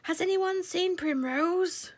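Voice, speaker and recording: A woman voicing a gentlemanly, caring and anxious father mouse in a storybook, slow and deliberate. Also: very high-pitched, pitched above the performer's own voice. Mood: anxious calling.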